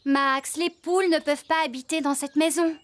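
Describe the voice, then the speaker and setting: A woman's voice: high-pitched, moderate pace, natural voice. A woman voicing a curious, caring young woman farmer who takes charge in a children's cartoon. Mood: concerned. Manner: inquisitive, expressive. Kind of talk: animated children's cartoon dub. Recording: loud music behind the voice. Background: loud cartoon music.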